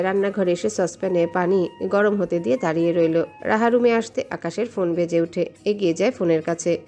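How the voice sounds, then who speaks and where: A woman's voice: medium pitch, a little mellow. Woman narrating a romance story over music. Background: background music.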